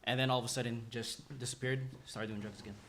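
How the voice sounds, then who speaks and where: A man speaks in a middle-pitched, conversational voice. He tells personal stories informally and haltingly, often repeating a phrase before he finishes it. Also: steady tone, a bit bright, a little hiss or hum. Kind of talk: casual personal storytelling.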